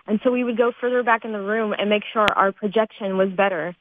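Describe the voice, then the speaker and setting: A woman's voice: mid-range voice, quick pace, a little hiss or hum. Teenage girl on a tinny phone-like line. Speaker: student cast member being interviewed. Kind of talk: casual explanation in interview.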